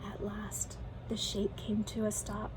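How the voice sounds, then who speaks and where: A woman reading a children's storybook aloud expressively, with deliberate, emphatic phrasing, like a teacher for pupils. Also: mid-range voice, completely flat delivery, darkish tone, slight background noise, poor audio.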